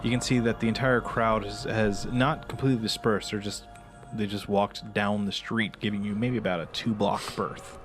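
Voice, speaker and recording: A man's voice: lowish voice, steady tone, moderate pace. A man narrating casually and off the cuff as the game master of a tabletop role-playing game. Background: music.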